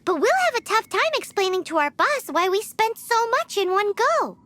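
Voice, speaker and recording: A woman voicing a sly, quick-witted young swordsman who bargains politely but cunningly, in video game voice acting. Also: extremely high pitch, sing-song, bright, forceful tone, heavily acted. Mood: sly bargaining.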